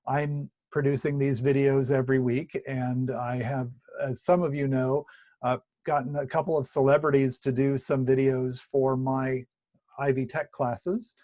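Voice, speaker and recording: A man with a slightly scratchy, tired voice talks through a relaxed Bible study from his home, full of asides, fillers and restarts. The sound is dry and close. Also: medium pitch, unhurried, no room sound. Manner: chatty, warm asides with many fillers and restarts. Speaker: pastor. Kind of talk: casual Bible study talk. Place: at home, indoors. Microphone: video recording at home.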